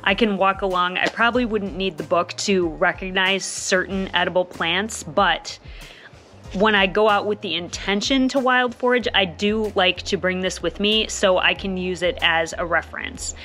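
An enthusiastic woman in her thirties talks straight into a phone held at arm's length on an open porch. She is chatty and warm-hearted in her phrasing, doubling up words like "really, really" for emphasis. Her pitch sits mid-range, and soft music plays faintly underneath. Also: normal speed. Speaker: homesteading vlogger. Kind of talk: casual vlog talk to camera. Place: covered back porch of a house, outdoors. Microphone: phone camera at arm's length.

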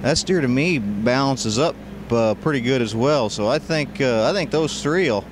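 An American man offers live commentary as a livestock show co-commentator. His voice is middle-pitched and his talk is halting, with words repeated as he thinks. A clearly noisy arena is heard around him. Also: lively intonation. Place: large indoor show arena.

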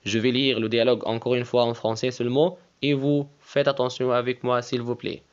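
A man with an Egyptian Arabic accent, a native Arabic speaker teaching French. He speaks slowly and deliberately, repeating phrases and spelling words out letter by letter. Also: mid-range voice, flat delivery, dull audio. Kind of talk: language lesson, French with Arabic explanations.

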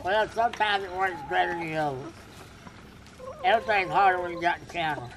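A man with a fairly high voice talks through his backyard chores in an easygoing, folksy way. He is recorded outdoors on a camera mounted on his chair, with faint birds and yard sounds behind him. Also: fast talker, noisy background. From United States. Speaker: homesteader vlogger. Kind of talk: casual chat while doing chores. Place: outdoor backyard chicken coop. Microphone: camera on wheelchair and handheld selfie. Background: faint birds and outdoor ambience.

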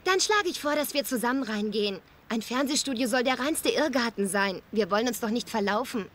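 High-voiced, self-assured schoolgirl. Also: lively intonation, brisk, a bit bright. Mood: confident. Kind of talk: animated series dub acting.

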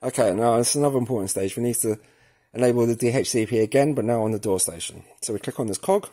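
A man with a slightly husky, rough-edged voice talks through a practical setup in a casual way. His pitch is mid-range, and he goes step by step, thinking aloud as he works. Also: fast talker, dark tone. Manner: informal, step-by-step, thinking aloud while working. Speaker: product tutorial presenter. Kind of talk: casual hands-on tutorial narration. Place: indoors at a work table, filming hands and device.

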